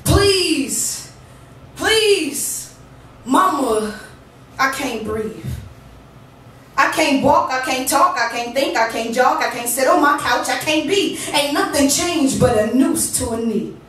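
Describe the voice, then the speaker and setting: A woman's voice: high-pitched, expressive, slow, fairly bright tone. Woman poet in a very echoey room. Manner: rhythmic, emphatic delivery with conversational asides. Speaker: featured poet. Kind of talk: spoken word poetry with casual stage banter. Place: living-room poetry venue. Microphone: room recording from a distance. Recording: very echoey room, distant mic, faint hum.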